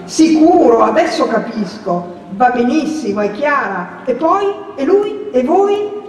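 Storybook part: reader as anxious, scheming country mother, urgent and high, far from the mic. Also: sing-song, a little slow, full character voice. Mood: feigned interest. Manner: urgent, exclaiming, questioning. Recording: echoey hall, distant mic, faint noise.

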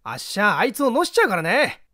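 A man voicing a rough-talking, hot-headed, loyal sidekick in a story reading. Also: high voice, huge pitch swings, fast, bright, forceful tone, no room sound, studio quality, voice pushed up, heavily acted. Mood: indignant. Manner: rough, slangy, pugnacious.

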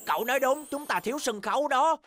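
A man's voice: very high voice, fast, fairly bright tone. A man voicing a cheerful, boastful young bear in a language children's cartoon dub, exclaiming with enthusiasm. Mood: enthusiastic.

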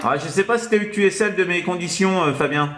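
A man's voice: sits high, fast, roomy sound, heavy background noise. A man working as a radio operator, chatting casually and hesitantly over the air, often tagging his sentences. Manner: hesitant, tags sentences with "hein". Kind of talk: casual radio contact chat. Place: indoors in an apartment, at a radio set.

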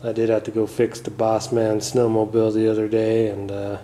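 A man talks through a repair in a relaxed, mumbly way, letting sentences trail off into fillers. His voice sits low and mellow with little rise and fall. He records on a phone in his garage. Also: moderate pace. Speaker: channel host. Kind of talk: casual hands-on repair vlog. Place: garage, working on a floor bench.

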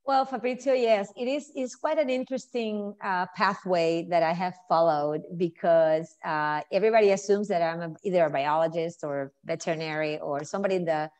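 A woman's voice: fairly low pitch, brisk, hardly any pauses, darkish tone. Colombian woman on a video call. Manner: earnest, thoughtful storytelling. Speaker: conservationist guest. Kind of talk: casual interview. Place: indoor home room. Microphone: earbud mic on video call.

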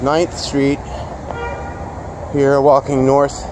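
A man's voice: medium pitch, completely flat delivery. Man muttering while filming on a city sidewalk. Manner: rambling, halting, muttered asides. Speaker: street videographer. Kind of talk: casual walking commentary. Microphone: handheld phone. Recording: wind and street traffic noise. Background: traffic and wind.

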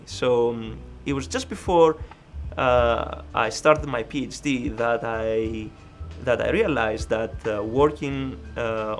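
A man in his thirties answers questions with frequent uhs and self-corrections, his pitch sitting in the middle range. He was recorded indoors in a lab lined with computer screens, and soft music plays quietly under his voice. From Greece. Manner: hesitant, many filler uhs and restarts. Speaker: research scientist. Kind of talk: interview answers.

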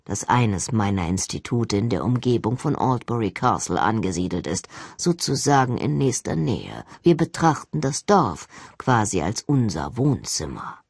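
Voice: medium pitch, big pitch swings, moderate pace, hardly any pauses, darkish tone, breathy edge, no echo, clean studio sound, voice pushed down. An audiobook reader voicing a stern, arrogant older male agency director, speaking in an expressionless, formal and condescending way. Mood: cold, threatening. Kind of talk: audiobook character acting.

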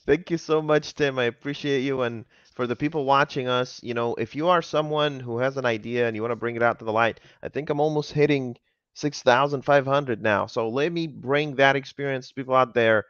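A man in his thirties hosting a casual podcast interview over a video call, lively and laughing often, wearing a headset. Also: medium pitch, fairly quick, clear, resonant tone, no echo. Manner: enthusiastic, laughs often, acts out quotes. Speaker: podcast host. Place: home office with monitors, indoors. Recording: dull video-call audio.

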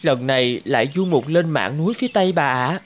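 A man as a kindly young grandson, highish voice. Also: lively intonation, brisk, a little hiss or hum, natural voice. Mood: caring. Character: poor, kindly young grandson in a nomadic village. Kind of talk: cartoon dubbing.

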